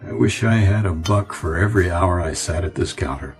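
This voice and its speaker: An American actor playing a middle-aged, weary and wistful executive in a radio drama, talking in a hesitant, musing way. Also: male voice, low-pitched, expressive, fairly quick, soft background music. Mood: nostalgic wonder. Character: weary, wistful ad executive fleeing city pressures.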